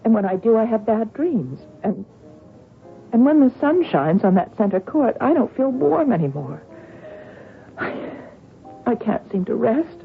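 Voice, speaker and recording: A woman's voice: on the high side, very animated, unhurried, gravelly, long pauses, exaggerated voice. An actress playing a despairing, worn-out female tennis champion, sardonic and bitter, in a radio drama. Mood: weary despair. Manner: sardonic, weary, dramatic swings into anguish. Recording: tinny phone-like old radio broadcast, music behind.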